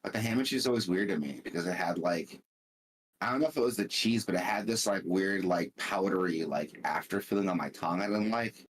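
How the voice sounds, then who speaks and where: Deep husky young guy on a podcast mic, kinda flat tired-sounding, rambles with like and swearing. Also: a little fast, poor audio. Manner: rambling, full of "like" fillers and swearing. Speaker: podcast co-host. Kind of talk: casual chat.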